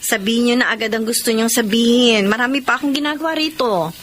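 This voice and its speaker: A woman's voice: on the high side, fast talker, fairly bright tone, close to an everyday voice. A woman playing a bitter, possessive separated mother in a Filipino radio drama, demanding and emotional in delivery. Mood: impatient, annoyed. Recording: clear background noise.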